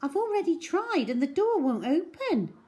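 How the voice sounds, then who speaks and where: Storybook girl next door , female reader: high, lively, bossy, chatty, excitable. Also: moderate pace. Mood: frank. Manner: bright, excitable, pushy. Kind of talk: children's storybook character voice.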